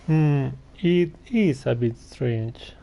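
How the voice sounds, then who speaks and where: A man giving casual gameplay commentary in a halting, hesitant way on a muffled microphone. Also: mid-range voice, gravelly. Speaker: gaming streamer. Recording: muffled microphone with faint background noise.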